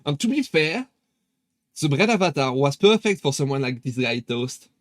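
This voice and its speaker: A man's voice: fairly high pitch, sing-song, moderate pace, dry recording, clean studio sound. A young man with a French accent chatting casually on a live stream, hesitant with many fillers and restarts. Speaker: VTuber streamer.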